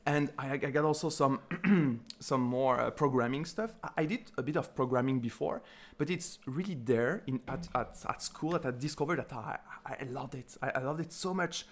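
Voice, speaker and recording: A man's voice: medium pitch, normal speed. Belgian French man, chatty guest. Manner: chatty, laughs easily, many restarts. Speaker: guest software developer. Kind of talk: casual interview chat.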